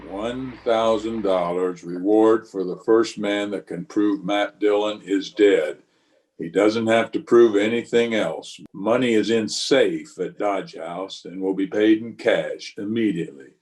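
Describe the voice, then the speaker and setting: An actor playing a weary, watchful Old West marshal in a radio western, speaking and narrating in a measured, terse, grave way. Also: male voice, on the low side, a little mellow, natural voice. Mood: grave, wry. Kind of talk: old-time radio western acting with first-person narration.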